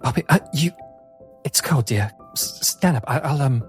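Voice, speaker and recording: A man's voice: mid-range voice, animated, moderate pace, faint music behind, voice pushed up, natural voice. A man voicing a flustered, kindly madame who runs a bordello, stammering and fussing with endearments in a tabletop game. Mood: flustered, caring.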